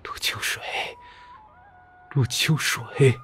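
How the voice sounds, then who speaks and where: A man's voice: drawn-out words, fairly bright tone, in a whisper, faint music behind. A man playing a proud, embittered, disgraced scholar in a costume radio drama, brooding and intense. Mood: bitter.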